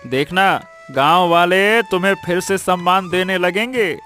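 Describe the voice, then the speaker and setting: A man playing a kindly, generous merchant elder in a Hindi cartoon story, persuasive, with drawn-out interjections. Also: high voice, bright, forceful tone, faint music behind. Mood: advising, persuasive.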